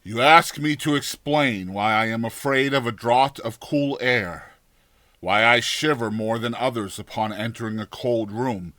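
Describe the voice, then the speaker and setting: A male audiobook narrator with a low, bright and forceful voice. He reads classic horror deliberately and theatrically, shaping each formal phrase. The sound is close and dry, with no room around it. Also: no room sound. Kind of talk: dramatized horror story narration.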